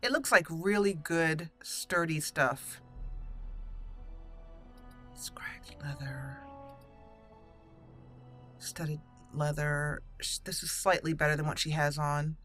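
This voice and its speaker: Female let's play host, chatty and informal, forward bright tone, thinks out loud while playing. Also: deep voice, slow pace, long pauses, faint music behind. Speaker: gaming channel host. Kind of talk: casual let's play commentary.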